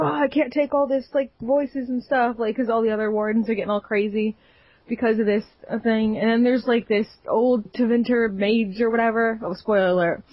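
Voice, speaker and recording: A woman's voice: on the high side, dry recording. A woman co-hosting a casual gaming podcast, rambling with many fillers. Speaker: podcast co-host. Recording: dull lo-fi sound.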